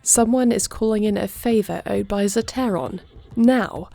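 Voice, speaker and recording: A woman's voice: medium pitch, unhurried, creaky, dark tone, dry recording. A woman playing a regal, anguished but resolute queen with formal, commanding diction in a fantasy audio roleplay. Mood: anxious, resolved.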